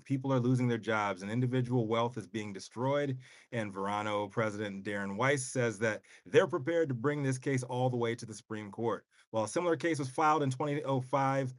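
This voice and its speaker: A man of middle pitch presenting a news segment in a casual, joking way, dropping slang between facts. The recording is dry and close. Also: no room sound. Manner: reads the story with wry asides and slang.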